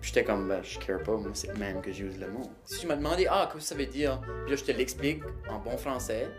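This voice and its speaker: A man with a Chiac Acadian accent from New Brunswick, speaking in a documentary interview in a lively, emphatic way and slipping English words into his French. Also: mid-range voice, brisk. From Shediac, New Brunswick. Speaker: interviewee, artist. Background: background music.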